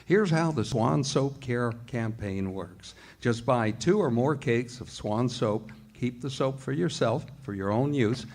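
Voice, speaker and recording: A man's voice: mid-range voice, darkish tone. A man announcing in 1940s radio style, reading a scripted appeal in an earnest, persuasive manner.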